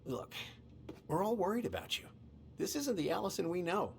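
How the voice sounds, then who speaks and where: A man's voice: high-pitched, big pitch swings, moderate pace, gravelly, a little hiss or hum, exaggerated voice. An actor playing a concerned, fatherly middle-aged police officer in a naturalistic video game cutscene. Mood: reproachful, concerned.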